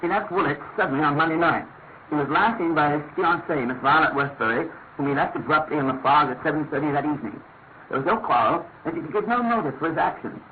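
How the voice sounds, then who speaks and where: Earnest, amiable male sidekick and storyteller, acted by a man, slightly high voice, measured delivery, old radio drama on a thin phone-like band. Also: a little hiss or hum, lightly acted. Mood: eager, informative. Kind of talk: classic radio drama narration and dialogue. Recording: tinny narrow-band radio sound, music behind.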